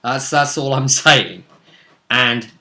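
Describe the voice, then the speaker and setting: A man with a crisp, bright edge to his voice hosts a tabletop recap. He talks casually as game master, telling the story in pieces and keeping some suspense. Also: mid-range voice, animated, slow. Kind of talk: casual recap of a tabletop role-playing session.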